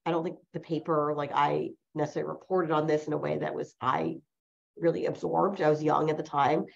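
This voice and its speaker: A woman in her forties with a low voice edged with vocal fry, speaking as a lawyer whose first language was Chinese. She tells her stories reflectively, circling back and correcting herself as she goes. The recording sounds muffled and lo-fi. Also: little pitch movement, brisk. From New Jersey, USA. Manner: reflective, rambling, with many self-corrections. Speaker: lawyer, guest. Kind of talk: personal storytelling in an interview. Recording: dull lo-fi recording.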